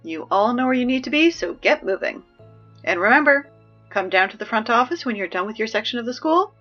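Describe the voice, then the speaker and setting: A woman playing a chipper high school student reading announcements in an audio drama, brisk and deadpan about absurd horrors. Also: muffled recording, soft background music. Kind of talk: scripted audio drama acting as public announcements.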